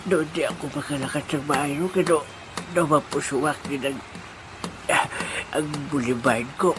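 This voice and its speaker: A man's voice: fairly high pitch, normal speed, lightly acted. A man playing a fussy, rambling old grandpa who enters a singing contest, chuckling and grumbling as he goes. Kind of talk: amateur audio drama. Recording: loud background noise.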